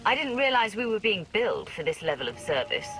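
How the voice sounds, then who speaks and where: A woman playing a cool, commanding dark warrior in a video game, formal and controlled. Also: mid-range voice, very animated, brisk. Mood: cool, curious. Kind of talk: scripted game dialogue. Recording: music behind the voice.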